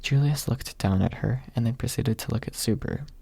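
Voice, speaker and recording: A man's voice: mid-range voice, fairly quick, gravelly, dark tone, husky, no room sound. A man reading a story aloud as an ASMR audiobook narrator, close to the microphone.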